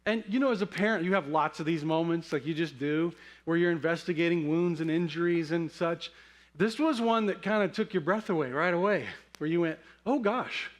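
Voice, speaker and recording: A middle-aged man preaching a sermon as a pastor, telling stories conversationally and leaning into dramatic, weighted pauses. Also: on the high side. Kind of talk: sermon with personal storytelling.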